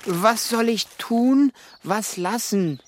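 A man's voice: sits high, slow, slight background noise. A man playing an impatient, grumpy cuckoo in a children's radio play. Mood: urgent. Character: impatient, grumpy male cuckoo bent on going to sea.

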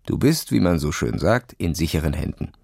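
A man's voice: very deep voice, sing-song, moderate pace, vocal fry, a little mellow, no room sound, clean studio sound, full character voice. A man voicing a cocky, condescending boy who brags in casual slang, in a children's audio story. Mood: boastful. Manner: bragging, sneering, casual youth slang.

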